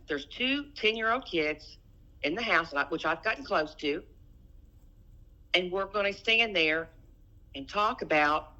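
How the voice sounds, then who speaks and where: Middle-aged woman, lowish and sharp-toned, insistent and repetitive, in a family phone dispute. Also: highs cut off. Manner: insistent, repeats phrases. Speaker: private caller in a family dispute. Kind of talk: heated personal phone argument.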